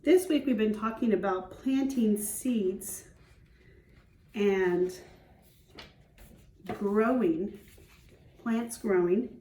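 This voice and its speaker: A woman schoolteacher reading a children's storybook aloud, explaining simply and pausing to put questions to young listeners. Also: on the high side, darkish tone. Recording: roomy echo, distant microphone.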